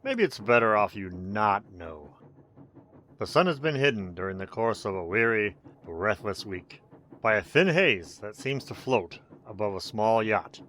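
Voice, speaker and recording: An actor playing a mysterious, sinister but courteous host, narrating radio horror in a measured, theatrical way. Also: male voice, fairly low pitch, very animated, a bit bright, slight background noise. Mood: ominous, inviting.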